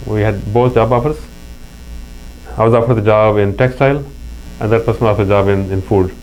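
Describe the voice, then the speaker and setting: A man's voice: on the low side, fairly even intonation, brisk, a little mellow, rough recording. A middle-aged man from Pakistan with a Pakistani accent, telling stories in an earnest interview chat. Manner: earnest, anecdotal, with frequent restarts. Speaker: interview guest. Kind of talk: casual interview talk. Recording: faint mains hum.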